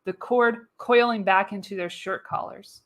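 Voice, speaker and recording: A woman's voice: mid-range voice, fairly even intonation, a little slow, fairly bright tone. A woman reading scripted action as the narrator of a live radio play, with dramatic storytelling emphasis.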